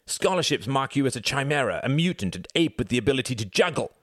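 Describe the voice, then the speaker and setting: A man's voice: sing-song, fast, fairly bright tone, no room sound, studio quality. A man reading as an arrogant, sneering upper-class young man, indignant and haughty. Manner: haughty, indignant, rising shrill.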